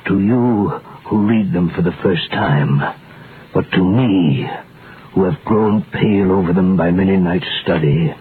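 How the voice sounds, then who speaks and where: An actor in an old radio drama playing a gentle, dying old scholar-priest in prison, speaking gravely and haltingly. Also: male voice, sits low. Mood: earnest, insistent. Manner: grave, halting, solemn delivery. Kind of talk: 1930s-40s radio drama acting. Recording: muffled, noisy vintage radio recording.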